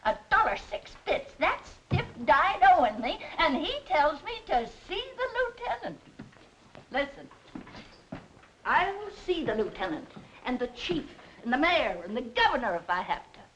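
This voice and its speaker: A woman's voice: high voice, sing-song, a little slow, fairly bright tone, exaggerated voice. An actress playing an indignant, stubborn woman in a 1940s film, complaining slangily and defiantly. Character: indignant, stubborn woman demanding money she is owed. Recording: noisy, clicking 1940s film soundtrack.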